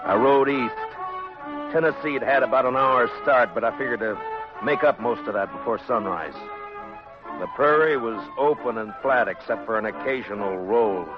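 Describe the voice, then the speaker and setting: An actor in an old radio western playing and narrating a tough, terse, determined frontier marshal with an authoritative manner. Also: male voice, medium pitch, close to an everyday voice. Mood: grim, narrating. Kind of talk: 1950s radio western acting and first-person narration. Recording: muffled old radio recording with music.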